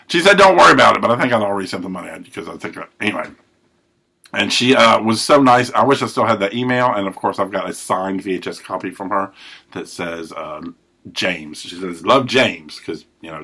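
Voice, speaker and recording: A man hosting a recovery podcast, telling his life story in a rambling, self-correcting way with a big, loud voice. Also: on the low side, husky. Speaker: podcast host. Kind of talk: personal recovery monologue.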